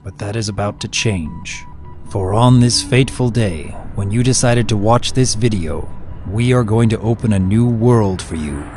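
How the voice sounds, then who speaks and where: A man's voice: fairly low pitch, normal speed, over music. A man narrating a dramatic scripted prologue in a solemn, portentous manner.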